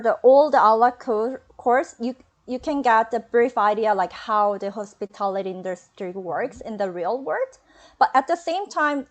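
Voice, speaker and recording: Korean-accented woman, slightly high voice. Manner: halting, searching for words with many fillers. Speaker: former student. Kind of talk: webinar interview.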